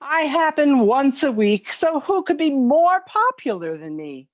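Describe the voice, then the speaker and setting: A woman's voice: high-pitched, very animated, a little slow. A woman playing a smug, popular weekly holiday in an amateur comic monologue.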